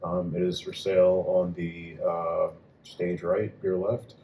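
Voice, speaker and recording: A male host making closing announcements, halting with many fillers. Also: low voice, flat delivery, warm tone, a little hiss or hum. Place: venue hall at a live reading. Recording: echoey hall, mic at a distance.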